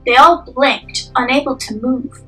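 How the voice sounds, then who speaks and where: A woman's voice: high voice, a little slow, fairly bright tone, far from the mic, soft background music, rough recording. A young adult woman reading scripted narration for a dramatic story.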